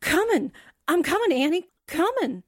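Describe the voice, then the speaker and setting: A woman's voice: very high-pitched, big pitch swings, normal speed, noisy background. A woman playing a perky, loyal young assistant in a 1940s-style radio drama, with a cute, theatrical delivery. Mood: eager.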